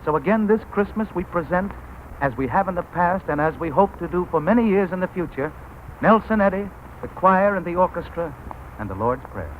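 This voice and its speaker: A man's voice: high-pitched, lively intonation, fairly quick, narrow, tinny audio. American man announcing on scratchy 1940s radio. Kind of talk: 1940s radio announcing, scripted sponsor message. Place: radio broadcast.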